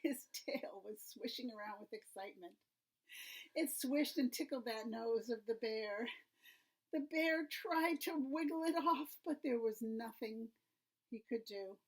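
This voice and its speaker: Woman, storybook read-aloud, slightly high voice, husky and breathy, expressive and hushed. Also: a little slow, rough recording. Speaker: children's story reader.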